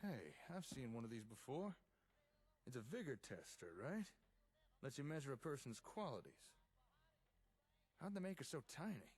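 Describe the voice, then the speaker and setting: A man's voice: mid-range voice, sing-song, moderate pace, pauses often, darkish tone, husky. A man playing an easygoing, enthusiastic settlement tinkerer, chatting and explaining in a folksy way in video game dialogue. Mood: teasing, pleased.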